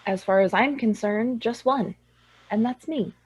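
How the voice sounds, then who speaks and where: A woman's voice: a little high, a little slow, breathy edge. A young actress playing a tipsy, dry-witted woman from a small town in a radio drama. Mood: wry, tipsy.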